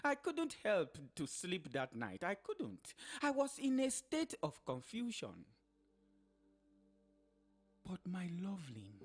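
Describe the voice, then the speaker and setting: A woman with a Nigerian accent playing a guilt-torn hustler who confesses to betraying a lover, in a theatrical radio drama monologue with Pidgin asides. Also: mid-range voice.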